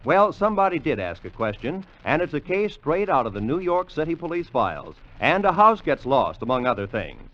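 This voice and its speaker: A man's voice: on the high side, big pitch swings, brisk, hardly any pauses, fairly bright tone. A man with an American accent announcing a wry, playful promo in 1950s radio style. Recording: dull vintage radio recording.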